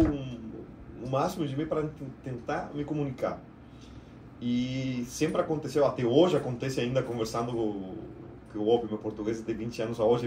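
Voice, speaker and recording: A middle-aged man from Argentina with an Argentine Spanish accent, a native Spanish speaker, talking about his work in a casual, slightly halting way as a podcast guest. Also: medium pitch, a little mellow, slight background noise. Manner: chatty, slightly halting storyteller. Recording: roomy echo, mic at a distance.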